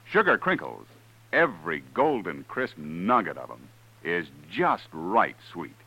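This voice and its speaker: Bright, deep American radio announcer man. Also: very animated, a little slow, breathy edge, low-quality recording. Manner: peppy, salesman-like. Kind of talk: 1950s radio commercial read.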